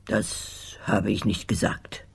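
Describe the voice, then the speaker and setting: An older woman playing a loyal, curt old housekeeper in a radio crime drama, terse and guarded with a very husky voice. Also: a bass voice, little pitch movement, takes it slowly, exaggerated voice. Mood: curt, reticent. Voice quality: very husky, breathy.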